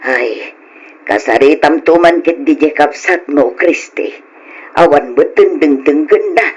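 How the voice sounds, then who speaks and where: A woman's voice: low voice, measured pace, slightly rough. A woman acting a caring mother who fusses over her grown children in an old-style radio drama. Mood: gentle, pleading.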